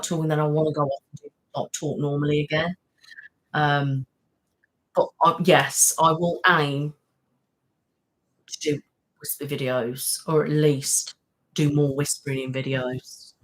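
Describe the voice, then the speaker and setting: Chatty soft-spoken woman greeting viewers. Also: sits low, level tone, unhurried, long pauses, poor audio. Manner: soft-spoken with filler words. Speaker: ASMR channel host. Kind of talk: casual live-stream chat.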